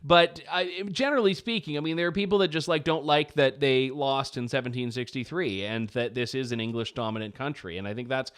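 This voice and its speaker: A man's voice: medium pitch, brisk, hardly any pauses, a bit bright, clear, resonant tone. A Canadian man hosting a political commentary show, talking in a wry, casual way. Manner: wry, casual with frequent "like" fillers. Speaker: host.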